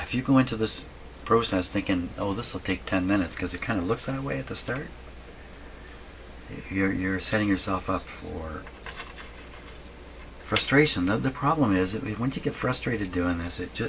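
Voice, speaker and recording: A man giving unscripted, halting commentary as he thinks aloud while doing hands-on repair work. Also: low-pitched, lively intonation, a bit bright. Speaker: radio repair hobbyist narrating a workshop video. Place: indoor radio repair workshop. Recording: clearly noisy workshop recording.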